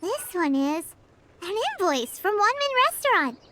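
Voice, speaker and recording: A tiny, excitable fairy companion girl from a video game. The voice is extremely high, squeaky and childlike. It bounces wildly up and down in chirpy exclamations, with loud noise behind it. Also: normal speed. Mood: curious, chatty.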